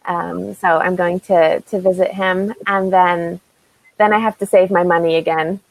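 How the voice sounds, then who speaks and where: A woman with an American accent, an online English tutor chatting through a casual lesson on a video call, unhurried and laughing lightly between sentences. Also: fairly low pitch, steady tone, creaky, rough recording. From United States.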